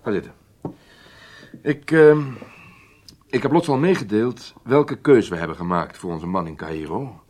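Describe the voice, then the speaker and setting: A spy chief in a radio play. His voice is fairly low with lively swings, and he speaks with deliberate authority. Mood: businesslike. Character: weighty, deliberate Israeli secret service chief. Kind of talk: radio drama acting.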